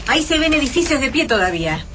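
A woman's voice: sits high, big pitch swings, fast talker. An Argentine actress in a radio drama playing a determined woman surviving and urging the resistance onward. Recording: clearly noisy radio drama ambience.

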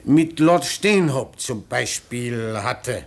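Genial mayor with animated intonation. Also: male voice, medium pitch, takes it slowly. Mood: placating. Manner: conciliatory, formal, hemming. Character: genial, diplomatic town mayor, accommodating and deferential. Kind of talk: German radio play acting.

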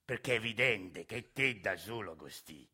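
A man's voice: on the low side, lively intonation, moderate pace, pushed and bright, breathy edge, pitched below the performer's own voice, full character voice. An actor playing a shady, crude male landlord with a Roman accent in a radio play, talking in a coarse, sly and menacing way. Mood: sly swaggering. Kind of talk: radio theatre acting.

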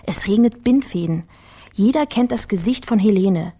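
Husky, mellow shrewd investigator. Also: female voice, medium pitch, normal speed. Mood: reasoning aloud. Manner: terse, wry, thinking aloud. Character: shrewd, dry female investigative partner. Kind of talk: radio drama dialogue.

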